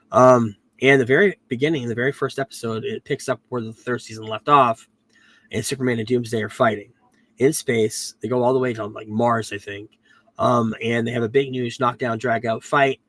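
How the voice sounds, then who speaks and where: Guy with a cold rambling thru a tv recap, um's everywhere, keeps correcting himself, mid pitch. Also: a bit bright, no room sound. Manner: rambling, self-correcting, frequent "um"s. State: getting over a cold, losing his voice. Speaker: podcast host reviewing a TV series. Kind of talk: casual recap chat.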